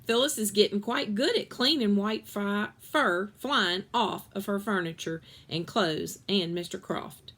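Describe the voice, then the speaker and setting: A woman reading a children's novel aloud in an expressive storytelling style. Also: mid-range voice, unhurried, few pauses.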